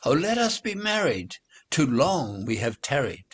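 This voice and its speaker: A man's voice: medium pitch, sing-song, measured pace, husky, pitched above the performer's own voice. A man voicing a lovestruck female pussycat from a storybook poem in a gushing, romantic manner. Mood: adoring, eager. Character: lovestruck female pussycat courting an elegant owl.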